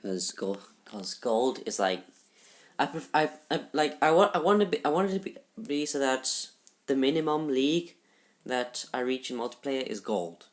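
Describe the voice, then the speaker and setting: A teenage boy giving casual gameplay commentary in a halting, rambling way, full of restarts, recorded in a shared room at home. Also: mid-range voice. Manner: subdued, somewhat flat delivery. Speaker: gaming YouTuber.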